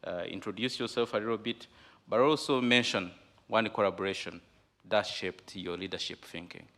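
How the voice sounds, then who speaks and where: A man moderates a conference panel in a very deep, gravelly voice. He speaks haltingly, with many fillers and restarts. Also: fairly bright tone.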